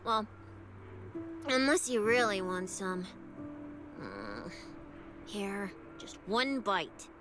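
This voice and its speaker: A woman playing a playful, food-loving young police specialist in an animated game cutscene, with an expressive, mock-official manner. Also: mid-range voice, extremely slow, long pauses. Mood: delighted, teasing. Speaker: video game voice actor. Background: background music.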